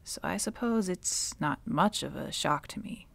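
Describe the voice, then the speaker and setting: Centuries-old witch villainess for audio roleplay female actor: unhurried, deep-pitched, sardonic and bitter, softening into breathy near-whispers. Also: animated. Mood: incredulous, angry. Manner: slow, sardonic, dropping often into breathy whispers. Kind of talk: ASMR dramatic roleplay monologue.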